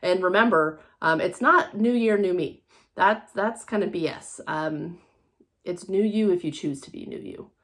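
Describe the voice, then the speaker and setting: A woman's voice: lowish voice, unhurried, a bit bright. An American woman speaking as a motivational coach in a casual monologue, earnest and encouraging, repeating phrases for emphasis.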